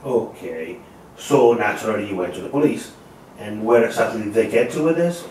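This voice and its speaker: Private eye, male actor: weary, guarded, lowish voice, thriller table read, very roomy distant sound. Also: expressive, moderate pace, a little mellow, close to an everyday voice. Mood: probing, measured. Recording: roomy, distant mic with faint noise.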